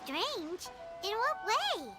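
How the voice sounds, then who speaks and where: A woman voicing a tiny, childlike, excitable fairy companion in a game, with a squeaky, nosy way of talking. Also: very slow, over loud music, exaggerated voice. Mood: curious, puzzled. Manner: squeaky, third-person, indignant and inquisitive. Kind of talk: scripted game voice acting.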